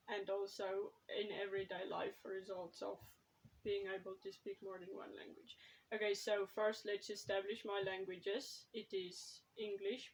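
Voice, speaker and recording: Woman, unhurried pace, casual vlog talk, hesitant, thinks aloud. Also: mid-range voice, a bit bright, rough recording. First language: Afrikaans. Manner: consults her notes while talking. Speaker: writer and vlogger.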